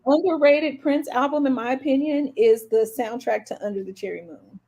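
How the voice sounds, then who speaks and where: A woman's voice: high voice, moderate pace, dark tone, rough recording. A woman co-hosting a podcast, talking casually about music in a conversational way. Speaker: podcast co-host.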